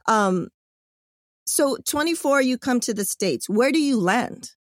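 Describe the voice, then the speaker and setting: A woman hosting a casual interview, excitable and slangy, cursing freely as she asks questions. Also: on the high side, unhurried, no room sound, studio quality. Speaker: podcast host.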